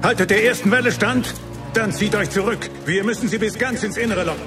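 An actor playing a holy warrior commander, urgently calling out orders. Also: male voice, high voice, fairly quick, lightly acted. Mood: commanding. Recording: battle din and music behind.

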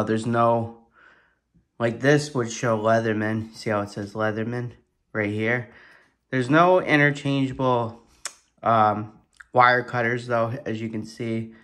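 A man giving a casual, excited hands-on product review at his kitchen table, thinking aloud and swearing in surprise. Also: medium pitch. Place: kitchen table at home, indoors.

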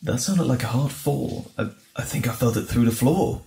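A man playing a gentle, caring boyfriend in an intimate audio roleplay, speaking soothingly and tenderly with light teasing and a halting delivery. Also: mid-range voice, gravelly, reverberant, close to an everyday voice. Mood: concerned, calming. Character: gentle, caring boyfriend comforting frightened partner.

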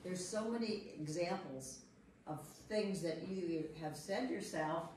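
A woman's voice: on the low side, unhurried, a little mellow. A woman participant in a Bible study, speaking casually in a group discussion. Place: reverberant room at a group meeting. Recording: very echoey room, distant mic, faint noise.

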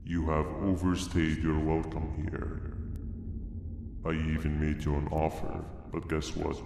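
A man playing a calm, philosophical, menacing jellyfish creature in a video game, speaking in grave, eloquent monologue. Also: very deep voice, measured pace, vocal fry, noisy background, full character voice. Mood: cold menace. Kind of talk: scripted video game acting. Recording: echoey hall reverb, loud music behind.

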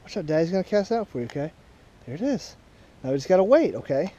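A man's voice: fairly high pitch, very animated, fast, mellow, muffled recording, slight background noise. A young father, patiently coaching his son while fishing at a pond, recorded on a body-worn camera outdoors. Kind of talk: casual chat while fishing. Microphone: body-worn action camera.

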